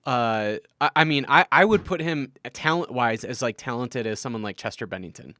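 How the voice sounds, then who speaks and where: Man, podcast co-host, mid-pitched voice, enthusiastic, dry close mic. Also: moderate pace, bright, forceful tone, no room sound. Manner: enthusiastic, emphatic. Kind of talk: casual podcast chat.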